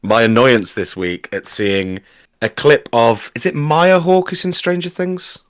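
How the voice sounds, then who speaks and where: A man's voice: mid-range voice, a little slow, clear, resonant tone, dry recording, clean studio sound. A man chatting casually as a podcast panelist. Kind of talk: casual chat.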